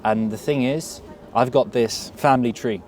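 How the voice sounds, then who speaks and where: A man's voice: medium pitch, moderate pace, darkish tone, slight background noise. A man with an English accent being interviewed outdoors, talking in a reflective, earnest way and repeating words as he thinks. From grew up in England. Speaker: interview guest. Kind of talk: casual interview. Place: outdoors at a street event.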